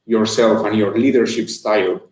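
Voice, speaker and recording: A man's voice: on the low side, fairly even intonation, normal speed, a little mellow. A man in his thirties, a guest answering interview questions over a video call, earnest and thoughtful with frequent restarts. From Colombia. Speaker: guest, marketing manager. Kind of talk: interview answers on a live stream. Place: room indoors. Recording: echoey room on a video call.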